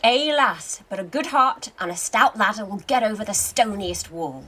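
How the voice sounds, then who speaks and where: A woman in a radio play acting a wry, seasoned male French army commander, with a measured, commanding theatrical delivery. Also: medium pitch, lively intonation, bright, forceful tone, breathy edge.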